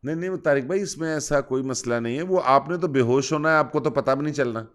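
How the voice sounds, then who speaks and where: A man's voice: mid-range voice, fairly quick. A man with a Pakistani accent making a prank phone call, explaining things in an insistent, reassuring, matter-of-fact way. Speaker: prank caller.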